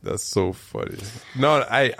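A man's voice: mid-range voice, expressive, measured pace, sharp tone, no echo. A young man co-hosting a podcast in casual chat, laid-back and laughing easily. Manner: laid-back, laughs, acts out his own lines. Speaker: podcast co-host.

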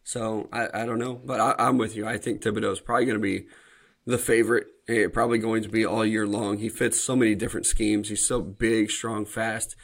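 A man's voice: lowish voice, little pitch movement, brisk, gravelly. A man co-hosting a podcast, chatting casually about football and hedging with fillers. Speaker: podcast co-host. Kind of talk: casual sports chat.

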